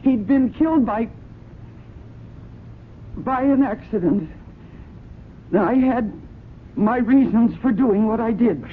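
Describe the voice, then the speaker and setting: A woman's voice: a little high, takes it slowly, pauses often. Desperate, cornered woman, tinny radio. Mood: anxious, pleading. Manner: earnest, pleading, agitated. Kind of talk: radio drama acting. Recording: noisy, narrow-band old radio broadcast.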